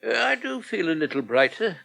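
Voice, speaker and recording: Radio soap: elderly, self-pitying Englishman. Genteel grumbler, dry wit, slightly high forceful voice. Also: big pitch swings, brisk, creaky, exaggerated voice. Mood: mournful, wry. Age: older. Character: frail, self-pitying old man, fussy and drily gloomy. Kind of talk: British radio soap acting.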